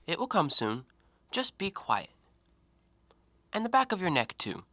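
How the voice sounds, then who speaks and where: An actor in an audio play, playing a talkative, self-assured intellectual who probes and needles with articulate, deliberate theatrical phrasing. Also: male voice, sits high, huge pitch swings, sharp tone, dry recording, exaggerated voice. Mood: probing, pointed. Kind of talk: stage-style audio play monologue.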